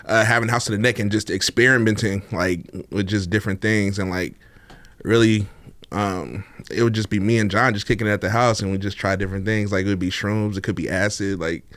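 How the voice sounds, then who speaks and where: A man's voice: fairly low pitch, fairly even intonation, brisk, fairly bright tone, no echo. A laid-back man, a podcast guest chatting casually and laughing often, with many fillers. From Oakland, California. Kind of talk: casual interview chat.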